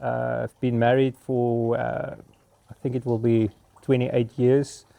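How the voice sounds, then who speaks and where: A man's voice: medium pitch, little pitch movement, gravelly, dry recording, narrow, tinny audio. Earnest Afrikaans-accented man in his fifties. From South Africa. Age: 50s. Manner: earnest, halting, many pauses and fillers. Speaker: vlogger. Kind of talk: reflective personal monologue.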